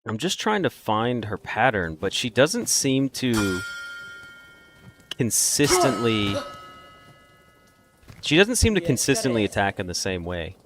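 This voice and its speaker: A man's voice: mid-range voice, very animated, measured pace, pauses often, noisy background. A man giving let's play commentary, reacting and exclaiming as he gets hit in a boss fight. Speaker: gaming video host. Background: game music.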